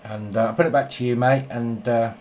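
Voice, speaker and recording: A man's voice: medium pitch, fairly even intonation, brisk, a little mellow. An English man chatting informally as an amateur radio operator filming a casual vlog. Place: small indoor radio shack at home. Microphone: handheld camera. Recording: faint noise, home radio shack camera.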